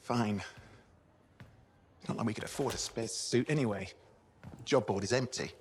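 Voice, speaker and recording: A man playing an eager, cheeky young radio operator in a video game cutscene, talking in an excitable, chatty way. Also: lowish voice, moderate pace, a little hiss or hum, lightly acted. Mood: wistful.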